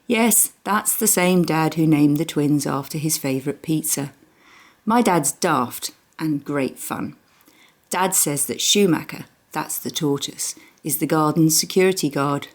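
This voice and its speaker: A woman with a low-pitched voice narrates a children's story. She reads expressively, as to young listeners, and uses dramatic pauses for effect.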